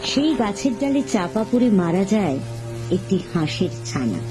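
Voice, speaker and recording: Female story narrator for animation, mid pitch, scripted read, music bed underneath. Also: very animated, normal speed.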